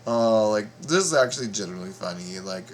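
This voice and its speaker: A man hosting a reaction video, commenting casually in a halting, stammering way and repeating his words. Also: lowish voice, animated, a little hiss or hum.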